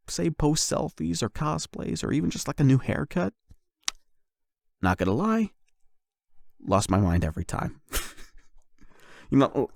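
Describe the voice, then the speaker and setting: A male performer plays a bashful young man opening up to his online friend in an intimate audio roleplay. His voice sits low with a crackly fry, and he talks hesitantly, circling his point nervously. The sound is dry and close. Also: darkish tone, no room sound. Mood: flustered, giddy, then embarrassed. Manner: hesitant, rambling, nervous chuckles. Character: shy, flustered young man confessing love to online friend. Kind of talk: ASMR boyfriend roleplay, intimate monologue.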